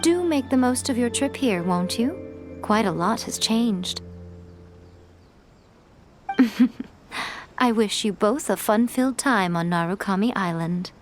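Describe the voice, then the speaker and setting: A woman's voice: medium pitch, lively intonation, slow pace, long pauses. An actress playing a sly, elegant lady who teases in a languid, silky way in a video game. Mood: composed, playful. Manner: languid, silky, coyly teasing. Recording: background music under the voice.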